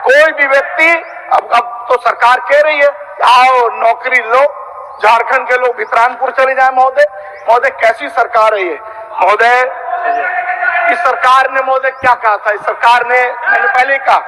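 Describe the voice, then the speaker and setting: A man's voice: very high-pitched, steady tone, a little slow, sharp tone. A man gives a fiery opposition speech in a legislature, combative and accusatory, repeating himself in rhetorical questions. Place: large legislative chamber. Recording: reverberant hall sound system.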